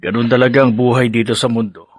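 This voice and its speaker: A man's voice: medium pitch, level tone, fast talker, no echo, lightly acted. Actor as a concerned Filipino father, dry sound. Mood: resigned. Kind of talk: Filipino radio drama acting.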